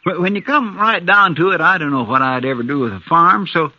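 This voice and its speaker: An actor playing a folksy frontier deputy in a vintage radio drama, drawling and a little hesitant. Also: male voice, on the high side, brisk, sharp tone, no room sound. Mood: sly. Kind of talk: 1950s radio drama acting. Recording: dull vintage radio recording.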